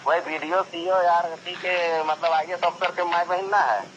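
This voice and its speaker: Jharkhand guy w high voice arguing back on a phone call, short replies, muffled, bit of noise. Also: fairly even intonation, normal speed, a bit bright. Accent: Jharkhand, India. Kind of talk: argument over the phone. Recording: dull phone call, faint background noise.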